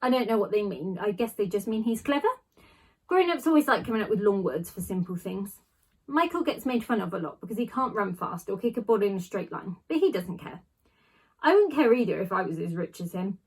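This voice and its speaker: A woman reads a children's novel aloud in the manner of a class teacher. Her voice sits at an ordinary female pitch and has a slightly husky, unpolished edge. She leans on key words and leaves small dramatic pauses as she tells the story. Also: expressive, darkish tone, poor audio.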